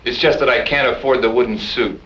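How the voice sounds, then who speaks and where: A man's voice: medium pitch, a little fast, sharp tone, a little hiss or hum, lightly acted. An actor playing a sardonic, evasive husband in an old radio western, dry in his delivery. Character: wry, evasive husband refusing to testify. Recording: muffled, echoing old radio recording.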